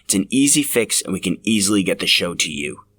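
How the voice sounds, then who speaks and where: A man's voice: deep voice, big pitch swings, a little fast, bright, forceful tone. A man narrating a scripted podcast as its host.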